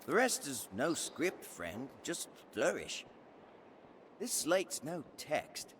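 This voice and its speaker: An actor playing a wily, mercenary reader of old scripts in a fantasy game, with colloquial archaic speech. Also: male voice, on the high side, wildly swooping pitch, slow, fairly bright tone, a little hiss or hum, full character voice. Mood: matter-of-fact. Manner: colloquial, archaic, swooping delivery.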